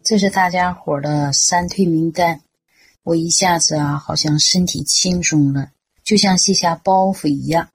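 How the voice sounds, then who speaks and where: A woman's voice: lowish voice, steady tone, moderate pace, faint music behind, natural voice. A woman acting a relieved, plain-spoken elderly village woman in a radio drama.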